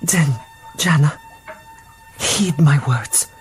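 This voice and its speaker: An actress playing a devoted female robot servant in a radio drama, speaking in a formal, measured, literal-minded way. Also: deep voice, faint music behind. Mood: measured, solemn.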